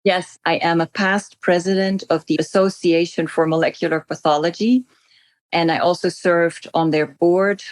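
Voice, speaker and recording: A middle-aged woman from the Netherlands, a native Dutch speaker, answering interview questions as a physician guest in articulate, measured phrases. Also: fairly low pitch, steady tone, dry recording.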